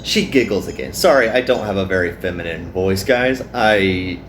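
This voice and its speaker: A man's voice: sits low, animated, normal speed, a bit bright. A man doing a casual visual novel playthrough, reading aloud and adding joking, self-deprecating commentary. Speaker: let's player. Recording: roomy echo, some background noise.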